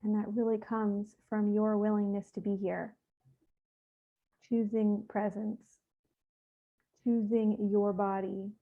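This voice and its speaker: A woman with a mid-pitched voice guides a meditation through narrow, phone-like audio. She speaks gently and evenly, leaving pauses between soft instructions. Her delivery is soothing and unhurried, with little rise and fall. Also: flat delivery.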